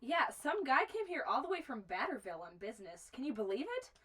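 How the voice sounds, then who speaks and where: Actress as chatty high-voiced frontier shopkeeper woman. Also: very animated, fast, fairly bright tone, slightly rough, exaggerated voice. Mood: friendly, chatty. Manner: folksy, talkative. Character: chatty, friendly, tough young woman keeping a remote frontier store. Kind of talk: amateur western film acting.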